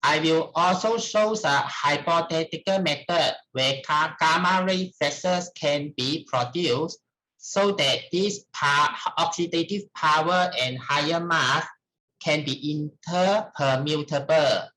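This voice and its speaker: A middle-aged man with a Malaysian accent gives a formal academic presentation in a halting, deliberate manner. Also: fairly high pitch, bright, forceful tone, slight background noise, poor audio. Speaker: researcher presenting at a conference.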